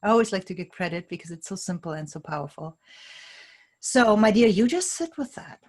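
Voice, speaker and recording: A woman's voice: mid-range voice, expressive, a little fast, a little mellow. A woman leading a tapping session, calling out tapping points and giving short phrases for someone to repeat. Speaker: EFT tapping practitioner.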